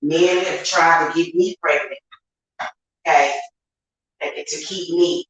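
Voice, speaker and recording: Echoey, low-voiced American woman, emphatic. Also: fairly bright tone. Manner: candid, emphatic, colloquial, repeats points. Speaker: online witch and spiritual reader. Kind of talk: unscripted vlog monologue to viewers. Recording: very echoey, reverberant room.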